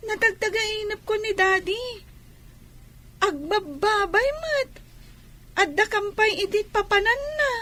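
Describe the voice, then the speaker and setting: Plaintive little kid for radio drama, voiced by a woman: very high, swooping, needy delivery. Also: lively intonation, measured pace, long pauses, sharp tone, full character voice. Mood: plaintive, sad. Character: young child talking tearfully to mother. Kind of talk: Ilocano radio drama acting.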